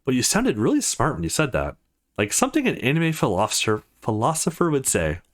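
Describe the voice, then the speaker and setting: Visual novel roommate, played by a man: teasing young woman, highly varied intonation. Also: wildly swooping pitch, fairly quick. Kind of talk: reading visual novel dialogue.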